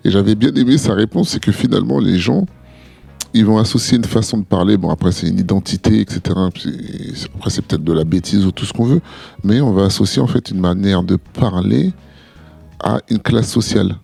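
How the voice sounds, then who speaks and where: A man co-hosting a podcast, giving measured advice with frequent hesitations and restarts. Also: fairly low pitch, gravelly, mellow, breathy edge, faint music behind. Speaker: podcast co-host. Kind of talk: casual podcast discussion.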